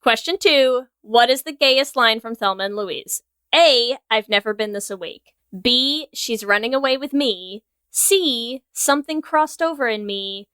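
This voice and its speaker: A woman co-hosts a casual podcast in a bright, fairly high voice. She is playful and jokey as she chats, and the sound is close and free of room echo. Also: unhurried, smooth. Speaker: podcast co-host. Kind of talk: casual comedic podcast chat. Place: remote recording over video call.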